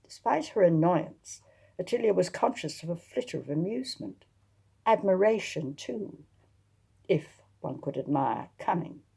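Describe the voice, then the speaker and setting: A woman reads her own historical mystery as its author. Her voice sits on the low side, with a gravelly creak. The delivery is expressive, scripted storytelling. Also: measured pace. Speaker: author reading own novel.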